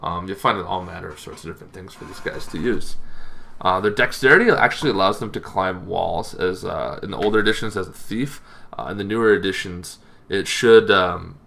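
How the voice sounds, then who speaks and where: A man hosting a tabletop gaming channel, giving a casual, informal explainer with halting, loosely phrased delivery. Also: deep voice, gravelly, fairly bright tone.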